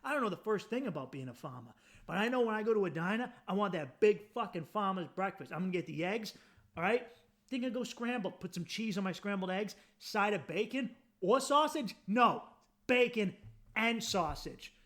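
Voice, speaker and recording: A man's voice: sits high, expressive, moderate pace, a bit bright. A man hosting a podcast, riffing through a profane, mock-outraged comedic rant. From United States. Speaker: podcast host.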